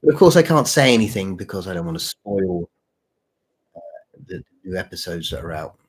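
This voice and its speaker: Husky, low-voiced man chatting casually. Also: big pitch swings, poor audio. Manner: halting, repeats phrases. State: mild sore throat, voice deeper than usual. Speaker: channel host and story writer. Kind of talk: casual livestream chat with viewers.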